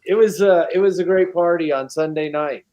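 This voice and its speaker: A man's voice: fairly high pitch, moderate pace, dark tone. A man guest chatting casually, telling stories in an easygoing, rambling way with many fillers. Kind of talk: casual chat.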